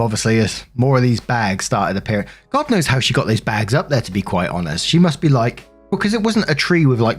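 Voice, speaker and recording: British male, casual storyteller, chatty and rambling, mid-range pitch, music underneath. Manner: chatty, rambling, freely swearing. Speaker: narrator and host of a story compilation. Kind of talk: casual storytelling with personal commentary.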